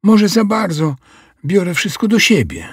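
A man's voice: high voice, very animated, measured pace, slightly rough, no echo, clean studio sound, pitched above the performer's own voice, full character voice. A man voicing an upset, scolding little girl in a children's audio story, with stern, emphatic delivery. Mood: exasperated.

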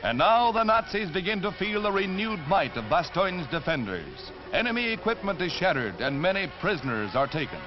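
A man's voice: high voice, very animated, normal speed, few pauses, over loud music. An American man reading scripted 1940s newsreel narration in a dramatic, formal announcer style. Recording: dull 1945 newsreel film soundtrack.

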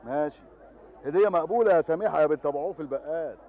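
A man's voice: fairly high pitch, racing, muffled recording, a little hiss or hum, lightly acted. An actor with an Egyptian Arabic accent playing a joking police officer who teases a village girl. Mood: teasing. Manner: teasing, chuckling.

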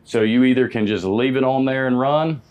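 A man's voice: medium pitch, normal speed, darkish tone, clear, resonant tone. An American man in his fifties explains a procedure casually and practically as a trade instructor, in a plain-spoken, folksy way. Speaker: HVAC technician instructor. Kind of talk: hands-on demonstration, casual explanation. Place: indoor parts warehouse. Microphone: lapel mic.

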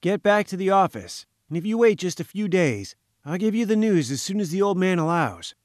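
A man's voice: high voice, sing-song, fairly quick, no room sound, clean studio sound, voice pushed up. A man voicing a genial, wry journalist in a storybook, friendly but firm, with breezy old-chap slang.

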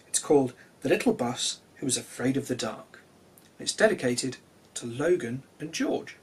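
A man in his thirties reading children's stories expressively, with deliberate dramatic pauses, recorded in a living room. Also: medium pitch, animated, breathy edge. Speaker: children's storyteller. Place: small cluttered living room, indoors.

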